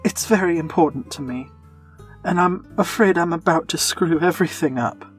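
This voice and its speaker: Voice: mid-range voice, normal speed, faint music behind, natural voice. A performer voicing an anxious, earnest younger man confiding in his lover, in an audio fiction drama. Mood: nervous.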